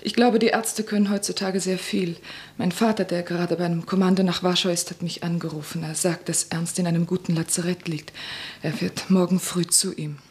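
A woman's voice: lowish voice, fairly even intonation, moderate pace, a little mellow, natural voice. An actress playing a young woman, an anxious daughter-in-law, in a radio drama. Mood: soft.

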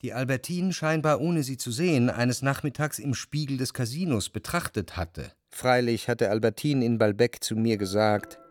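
An actor playing a jealous, brooding narrator who speaks in measured, reflective long sentences in a literary radio drama. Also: male voice, mid-range voice, dry recording, studio quality, lightly acted. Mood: stricken, recollecting. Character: jealous, brooding male narrator, introspective and anxious.

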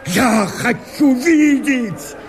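Radio verse drama: frenzied runaway convict, high voice, impassioned theatrical declamation, very slow. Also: male voice, expressive, very noisy, full character voice. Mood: impassioned, pleading. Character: frenzied escaped convict rebel, desperate and wildly determined. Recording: studio radio play with loud music.

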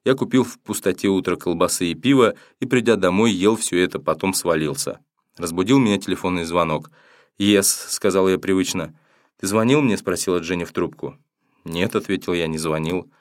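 Male audiobook narrator, low voice, steady matter-of-fact first-person read, dry close recording. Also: quick pace, dry recording. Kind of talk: first-person novel reading.

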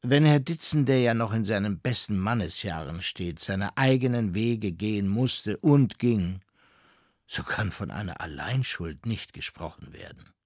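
An actor playing a stern, officious divorce lawyer, reading out a formal legal letter in measured phrases. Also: male voice, lowish voice, animated, a little mellow, dry recording, clean studio sound. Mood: accusing.

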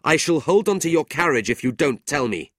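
An earnest, indignant young gentleman , voiced by a male narrator, pitched well above the reader's usual talk. He challenges with emphatic, honourable anger in a storybook reading, recorded dry. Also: big pitch swings, a little slow, slightly rough, no room sound. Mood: furious. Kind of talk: audiobook character voice.

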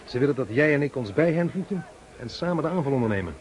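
Radio drama officer, male actor: cool, loyal comrade, average pitch, clipped soldierly delivery. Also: animated, fast, close to an everyday voice. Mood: reporting.

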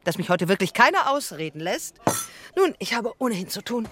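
Actress doing a vain prickly film diva who directs, radio play, super animated pitch, theatrical. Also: female voice, a little fast, noisy background, lightly acted. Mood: indignant. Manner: prickly, self-important, theatrical. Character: vain, imperious female film director and star. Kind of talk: radio drama acting.

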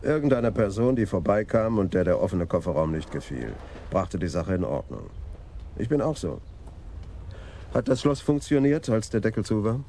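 A stubborn, sardonic senior policeman in a radio crime play. His low voice swings freely in pitch, over faint street noise. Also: sing-song, normal speed, warm tone. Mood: sardonic, insistent. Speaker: police detective. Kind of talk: 1980s radio drama acting.

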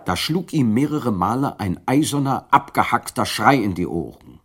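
Husky male radio drama narrator. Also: medium pitch, very animated, moderate pace, fairly bright tone. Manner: dramatic, vivid storytelling.

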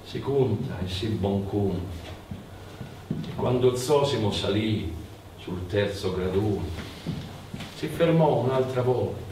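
Guy telling a folk tale like on stage, slow and dramatic, really echoey and a bit far from the mic. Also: medium pitch. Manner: dramatic, incantatory, repeats phrases. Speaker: storyteller and stage actor. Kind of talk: theatrical folk-tale narration. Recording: roomy, distant mic, faint background noise.

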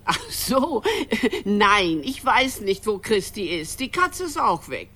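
A woman's voice: medium pitch, sing-song, slow pace, pushed and bright, heavily acted. An actress playing a genteel, scatty elderly mother in a radio drama, gushing and theatrical. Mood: scatty, gracious. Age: older. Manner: gushing, calls everyone darling. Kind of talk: German radio drama dialogue.

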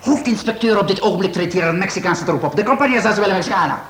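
An actor playing a chatty, gossipy theatre manager in a radio drama. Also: male voice, sits high, fast talker, a bit bright. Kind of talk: Dutch radio drama acting. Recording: roomy sound with faint hiss.

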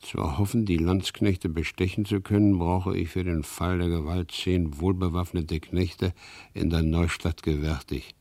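An actor in a German radio play as a proud, embittered and resigned outlaw horse dealer, speaking gravely and formally. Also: male voice, sits low, fairly even intonation, unhurried, dark tone. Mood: resolute.

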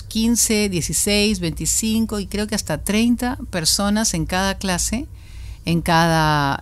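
A woman with a non-local accent tells her story with lively enthusiasm in a radio studio. Her voice is low for a woman, and she talks with warm, storytelling energy. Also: a little hiss or hum. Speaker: volunteer music teacher.

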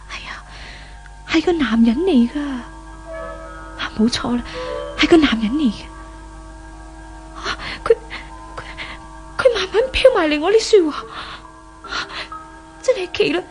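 A troubled young heroine in a 1970s Hong Kong radio drama, speaking Cantonese-accented lines in a very high voice. She is humble and easily awed, full of breathy, theatrical wonder, over background music. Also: low-quality recording. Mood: uneasy, puzzled. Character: distressed young woman, humbled, awed by a spirit.

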